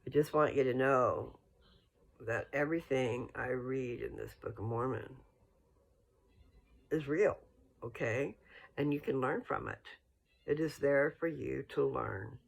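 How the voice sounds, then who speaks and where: A husky voice with plenty of gravelly creak, set at a medium pitch. The speaker hosts an informal religious talk, earnest and folksy. The delivery rambles, drifting into asides and returning to the message. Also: a little slow, rough recording. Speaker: religious video host.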